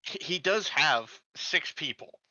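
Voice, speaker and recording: A man playing a tabletop roleplaying game, thinking aloud in a casual, halting way as he suggests plans. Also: fairly high pitch, animated, pushed and bright, dry recording, highs cut off.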